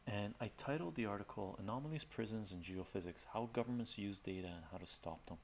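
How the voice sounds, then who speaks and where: A middle-aged man, Armenian by birth, chats in a relaxed, rambling way with fillers, as a livestream host in his home study. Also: lowish voice, quick pace, slight background noise. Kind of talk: casual soft-spoken chat with viewers.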